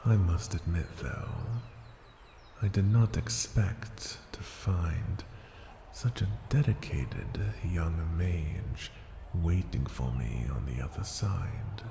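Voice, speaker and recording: Very deep, slow ancient dragon. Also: male voice, mellow, heavily acted. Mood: knowing, calm. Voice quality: very deep, gravelly, breathy at times. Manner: slow, formal, deliberate, imperious. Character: ancient male dragon, proud, unhurried, intrigued by humans. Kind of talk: ASMR fantasy roleplay monologue. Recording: cavernous long reverb, faint ambient noise.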